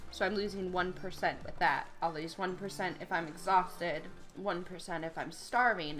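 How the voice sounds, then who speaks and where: A woman's voice: on the low side, fairly bright tone. Stuffed-up woman chatting over gameplay. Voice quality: slightly nasal. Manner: chatty, thinking aloud while playing. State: stuffed up from a cold. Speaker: let's play gamer. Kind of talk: casual gameplay commentary.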